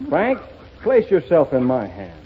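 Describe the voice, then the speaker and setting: A bossy gym instructor. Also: male voice, fairly high pitch, huge pitch swings, crowd noise, background noise. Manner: brisk, scolding. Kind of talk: 1930s radio comedy sketch. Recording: tinny reverberant old radio broadcast.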